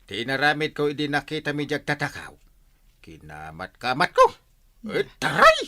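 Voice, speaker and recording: A man's voice: a little high, wildly swooping pitch, normal speed, creaky, pushed and bright, heavily acted. A man with a Filipino accent playing a bumbling, boastful, cowardly night-watch captain in a radio drama, stammering and blustering.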